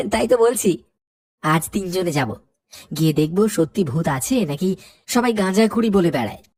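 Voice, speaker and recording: A daring village teenager voiced by a woman in a cartoon, cocky and reckless. The voice is husky and very animated in pitch. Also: a little slow. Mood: mocking, daring. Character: bold, reckless village teenager mocking ghost stories.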